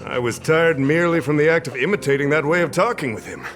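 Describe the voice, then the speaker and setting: A man's voice: a little high, fast, fairly bright tone, faint music behind, natural voice. A man playing a vain, pompous professor in a video game, speaking dramatically and evasively. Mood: smug, weary. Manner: pompous, dramatic, stammering when flustered. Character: vain, theatrical male professor, evasive and easily flustered.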